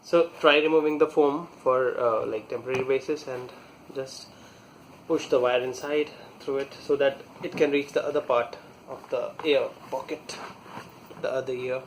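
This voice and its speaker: A man talking casually through a hands-on product installation, halting and pausing mid-sentence as he works. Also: medium pitch, steady tone, slight background noise, poor audio. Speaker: product reviewer demonstrating installation. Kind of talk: casual hands-on tutorial talk.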